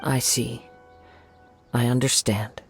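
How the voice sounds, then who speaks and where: Polite, calm investigator, mid-pitched. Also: male voice, gravelly, soft background music. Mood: calm, considerate. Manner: measured, formal, gentle. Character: polite young male investigator, calm and considerate. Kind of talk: video game voice acting.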